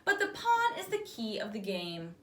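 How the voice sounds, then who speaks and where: Woman voicing a small, excitable storybook creature, echoey. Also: fairly high pitch, normal speed. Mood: earnest. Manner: excitable, earnest, insistent. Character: small eager, earnest male fantasy creature in a tutu. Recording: roomy echo, distant microphone.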